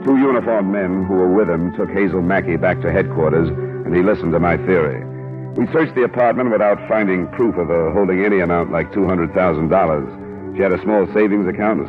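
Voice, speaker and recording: Deep-voiced hard-boiled American radio detective, played by a man. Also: brisk, natural voice. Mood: matter-of-fact narration. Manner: clipped, pressing, matter-of-fact. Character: terse, hard-boiled male investigator, blunt and world-weary. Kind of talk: old-time radio detective acting and narration. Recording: tinny old radio recording, music.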